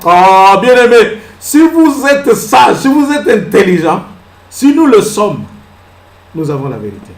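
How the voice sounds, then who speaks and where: A man preaching a sermon as pastor. He fires rhetorical questions, moves between soft asides and loud exclamations, and acts out little scenes. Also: high-pitched, reverberant, low-quality recording. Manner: acts out little scenes and laughs at his own jokes.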